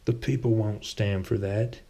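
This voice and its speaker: A man voicing a blunt, bitter, stubborn swordsman in a storybook reading, curt and gravelly. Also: lowish voice, steady tone, unhurried, mellow. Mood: scornful. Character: gruff, bitter, stubborn swordsman, old friend.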